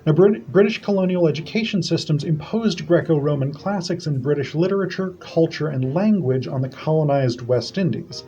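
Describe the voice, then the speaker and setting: A man presenting an academic conference paper, reading it in a measured and formal way. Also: a little high, dark tone. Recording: dull lo-fi audio.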